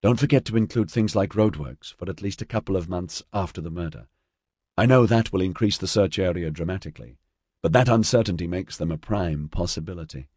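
Guy reading a no-nonsense lady detective, measured and precise, low husky voice, audiobook. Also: darkish tone, no room sound, studio quality. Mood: businesslike. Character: methodical, businesslike female investigator.

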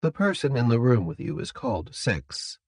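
A male narrator plays a polite captor in an audiobook. The delivery is measured and formal, detached yet courteous, with businesslike calm. The sound is close and dry. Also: medium pitch, creaky, slightly rough, no echo, pitched above the performer's own voice, full character voice. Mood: calm, matter-of-fact. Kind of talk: audiobook character dialogue.

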